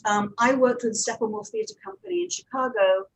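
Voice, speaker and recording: A woman presents on a panel with a fairly high voice. She talks informally and practically, like a working producer giving advice to colleagues. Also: very animated, moderate pace, slightly rough, rough recording. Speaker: panel speaker, audio drama producer.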